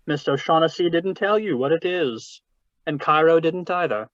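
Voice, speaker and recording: An actor playing a jovial, shrewd, long-winded crime boss in a radio drama, with florid formal speech, over a video call. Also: male voice, fairly high pitch, a bit bright, dry recording, lightly acted. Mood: sly, amused. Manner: florid, formal, deliberate, genial. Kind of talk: 1940s radio drama read-through. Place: video call read-through. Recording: dull, dry video-call audio.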